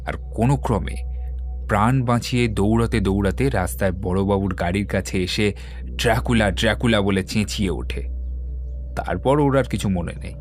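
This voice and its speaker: An actor playing a composed, methodical detective, explaining things in a measured, assured way in an audio drama. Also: male voice, on the low side, close to an everyday voice. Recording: background music under the voice.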